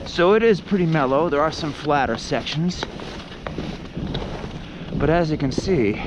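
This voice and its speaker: A man's voice: fairly high pitch, animated, measured pace, heavy background noise. A man casually narrating a backcountry ski tour outdoors, rambling with many fillers. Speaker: ski vlogger. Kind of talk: casual narration while skiing. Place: outdoors on a snowy mountain trail.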